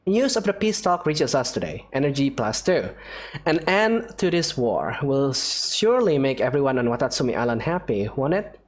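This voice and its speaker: A woman's voice: very deep voice, very animated, moderate pace. A woman hosting a gaming video, casually reading dialogue aloud and commenting, with game music behind her.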